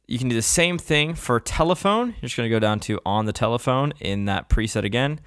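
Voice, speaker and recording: A man hosts a software tutorial in a smooth, clear voice. He explains each step casually and plainly. The sound is close and dry, like a studio voice-over. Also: mid-range voice, animated, a little fast, no room sound. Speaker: tutorial host. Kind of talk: instructional software tutorial narration. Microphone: close voice-over microphone.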